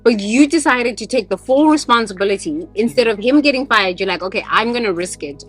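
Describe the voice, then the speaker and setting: A woman's voice: a little high, expressive, a little fast, fairly bright tone, soft background music. A woman hosting a casual conversation, speaking emphatically and repeating phrases for weight. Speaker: podcast host. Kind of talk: casual chat.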